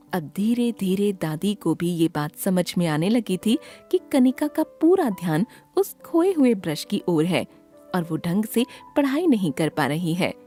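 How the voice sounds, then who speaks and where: A woman's voice: medium pitch, warm tone. A woman narrating a scripted children's story as the storyteller.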